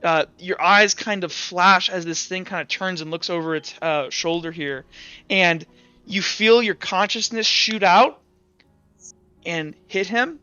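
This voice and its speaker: A man running a tabletop roleplaying game as game master, improvising dramatic narration haltingly and swearing casually. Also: sits high, bright, forceful tone, clear, resonant tone, no room sound, highs cut off.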